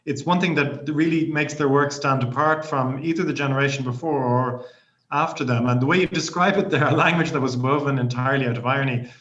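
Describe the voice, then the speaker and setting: A man's voice: medium pitch, fairly quick, a bit bright. Man host in an echoey room. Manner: engaged, hesitant with ums. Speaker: professor, host. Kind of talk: interview questions. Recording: echoey room, dull lo-fi audio.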